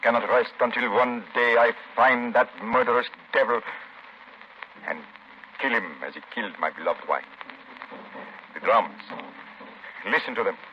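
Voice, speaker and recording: An actor playing a grave, widowed plantation master in a 1930s radio drama, speaking formally and solemnly. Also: male voice, medium pitch, measured pace, pauses often, close to an everyday voice. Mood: bitter, brooding. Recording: muffled 1930s radio recording, faint noise.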